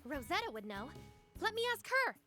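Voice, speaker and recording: A woman's voice: high-pitched, very animated, normal speed, sharp tone, soft background music, heavily acted. A woman voicing a brave, idealistic young heroine in a video game, earnest and determined. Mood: eager, concerned. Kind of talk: video game cutscene voice acting.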